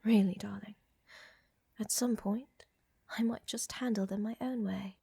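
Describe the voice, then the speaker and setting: A woman playing a flirtatious, doting aristocratic vampire countess in an intimate ASMR audio roleplay, with a soft, breathy murmur and elegant, teasing, soothing phrasing. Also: medium pitch, steady tone, a little slow, no room sound, studio quality. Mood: protective, reassuring.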